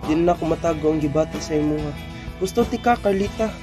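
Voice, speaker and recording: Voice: medium pitch, quick pace, music in the background, close to an everyday voice. An actor playing a polite, earnest young male suitor with a Cebuano accent in a radio drama. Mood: earnest, tender. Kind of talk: amateur radio drama acting.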